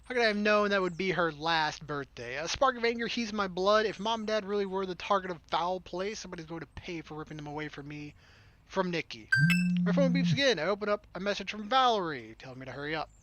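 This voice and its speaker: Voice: medium pitch, fast, fairly bright tone, no echo. A game streamer reading a story aloud as narration, with casual, wry asides. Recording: background music behind the voice. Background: game music.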